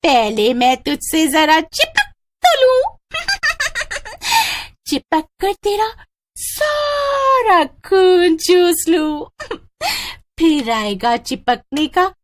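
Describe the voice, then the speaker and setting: A woman voicing a bloodthirsty witch in a horror story, taunting her victim slowly with shrieks and cackles. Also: sing-song, full character voice. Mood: gloating menace. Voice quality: shrill drawn-out shrieking with cackles. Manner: slow, gloating, menacing.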